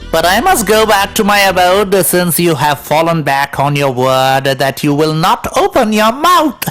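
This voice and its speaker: A taunting, sly ghost from a children's animated tale performed by a man. The voice sits fairly high and swings dramatically, slow and theatrical, with music playing softly behind it. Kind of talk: children's animated story dialogue.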